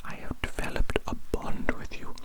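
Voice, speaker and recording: An ASMR performer voicing a gentle, doting alien doctor in a breathy, unvoiced whisper, soothingly repeating phrases to a patient. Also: lightly acted. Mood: soothing, affectionate. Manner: slow soothing repetition of phrases.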